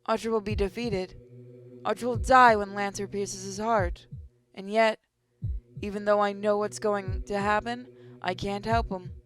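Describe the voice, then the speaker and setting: Measured narrator with flat intonation. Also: medium pitch. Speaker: gaming channel narrator. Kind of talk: reading visual novel narration aloud. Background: game music.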